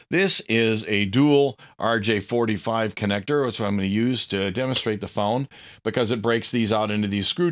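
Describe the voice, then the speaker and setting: Low-voiced man explaining things casually. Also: a bit bright. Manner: rambling, informal asides. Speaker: presenter of a hobby electronics tutorial.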